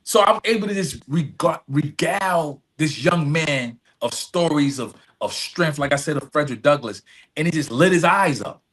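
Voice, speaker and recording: A man's voice: fairly high pitch, lively intonation, moderate pace, fairly bright tone. A middle-aged man telling stories as an interview guest, earnest and emphatic, repeating phrases and often checking in with "right?". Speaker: podcast guest, nonprofit executive director. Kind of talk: conversational interview storytelling.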